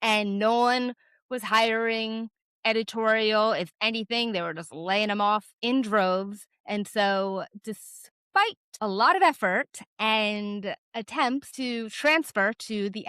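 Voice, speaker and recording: A woman in her forties with a naturally loud voice, frankly telling a personal story on her vlog with lots of casual fillers. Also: medium pitch, slow, clean tone, dry recording, clean studio sound. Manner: chatty, frank, many "like" fillers. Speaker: vlogger.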